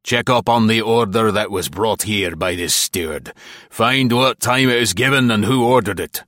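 A man reading an audiobook, voicing a burly, weather-beaten old sea captain who speaks gruffly and impatiently. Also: low-pitched, normal speed, fairly bright tone, dry recording, clean studio sound. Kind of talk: audiobook character voice.

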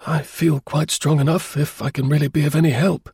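A man voicing an anxious, earnest young gentleman client in a storybook, polite, deferential and eager. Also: on the high side, little pitch movement, brisk, breathy edge, dry recording, studio quality, pitched above the performer's own voice. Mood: eager, grateful.

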